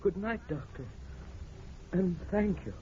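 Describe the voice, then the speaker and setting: An actor playing a needy, anxious older husband, a blinded patient pleading haltingly in an old radio drama. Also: male voice, high voice, animated, warm tone, slight background noise. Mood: fearful, needy. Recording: muffled, tinny old radio recording.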